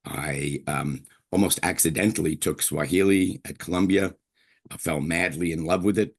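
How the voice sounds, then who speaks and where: An older man from Brooklyn giving a university lecture as a linguistics professor, chatty and digressive, often clearing his throat. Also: deep voice, fairly even intonation, moderate pace. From Brooklyn, New York.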